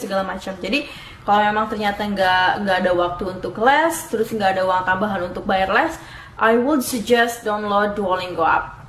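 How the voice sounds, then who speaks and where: A woman's voice: mid-range voice, a bit bright. A young woman from Indonesia giving casual vlog tips, chatty and laughing at herself as she switches between two languages. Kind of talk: casual vlog talk to camera. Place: home room in Dublin. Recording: echoey room, faint background noise.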